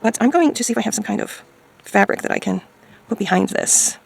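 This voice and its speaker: A woman chatting casually as she crafts, thinking aloud hesitantly in a hoarse, gravelly voice. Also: mid-range voice, animated, fairly quick, rough recording. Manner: hesitant, musing to self. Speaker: craft video maker.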